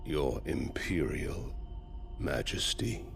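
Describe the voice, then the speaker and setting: An actor playing a menacing, vengeful ruler in a video game, speaking formally and deliberately in a gravelly voice. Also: male voice, a bass voice, sing-song, fairly bright tone, soft background music, heavily acted. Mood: calm menace. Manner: slow, measured, formal. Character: menacing, vengeful male ruler offering a cold bargain. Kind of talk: dramatic video game cutscene acting.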